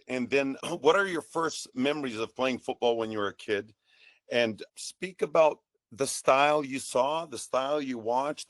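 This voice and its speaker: A man's voice: mid-range voice, no room sound. A man co-hosting a podcast who asks questions in a humble, curious way. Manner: humble, inquisitive interviewer. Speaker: podcast co-host.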